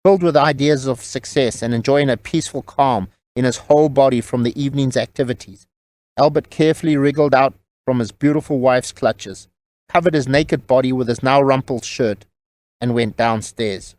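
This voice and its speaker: A man's voice: mid-range voice, normal speed, darkish tone, dry recording. A man reading scripted novel narration as the storyteller of an audio drama.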